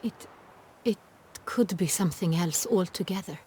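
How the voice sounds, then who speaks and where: A woman playing a poised, earnest noble ruler in a fantasy video game, with formal and measured phrasing. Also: fairly low pitch, dark tone, slight background noise, close to an everyday voice. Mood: uneasy. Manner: formal, measured, precise wording. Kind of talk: video game dialogue acting.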